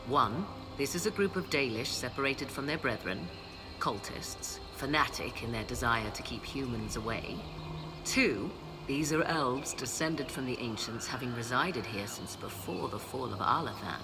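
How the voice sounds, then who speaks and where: An actress playing a poised, learned and haughty sorceress in a video game, speaking in a measured, formal, theatrical way. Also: female voice, very deep voice, expressive. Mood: cool, intrigued. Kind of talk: video game dialogue acting. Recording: game music behind the voice.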